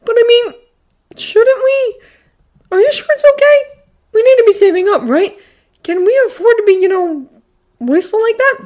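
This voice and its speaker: A woman voicing an excitable, bashful and eager teenage boy in a story, hesitant and prone to rambling. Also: very high-pitched, normal speed, pauses often, voice pushed up. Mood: sheepish.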